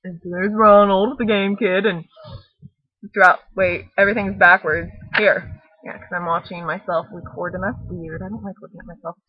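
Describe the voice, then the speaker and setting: A woman with a slightly husky voice casually reading a picture book aloud, rambling into playful, mock-dramatic chatty asides on a laptop microphone. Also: lowish voice, fairly even intonation, moderate pace. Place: at home, close to a laptop on a bed. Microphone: laptop webcam microphone. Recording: muffled lo-fi laptop webcam audio.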